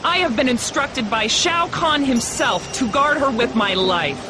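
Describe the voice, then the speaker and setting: An actress playing a scornful, defiant traitor guard in a video game, with a haughty, taunting delivery. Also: female voice, sits high, animated, unhurried, noisy background. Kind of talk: video game villain acting.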